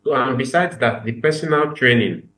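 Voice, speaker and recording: A man's voice: mid-range voice, normal speed, fairly bright tone, rough recording. A young man from Nigeria with a Nigerian accent, earnestly telling his story as a podcast guest, often repeating his phrases. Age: young adult. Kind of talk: casual interview chat.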